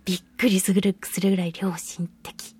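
A woman hosting a solo radio show, chatting in an animated, self-deprecating storytelling manner. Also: medium pitch, normal speed, slightly rough. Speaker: radio show host and voice actress.

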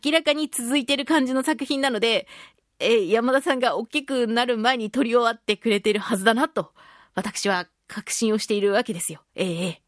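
A woman's voice: on the high side, brisk, no echo, clean studio sound. A woman hosting a radio show, chatting casually and expressively in a solo talk. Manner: animated, expressive, acts out reactions and quotes. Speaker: radio show host, voice actress. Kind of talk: casual monologue talk, recounting a film outing.

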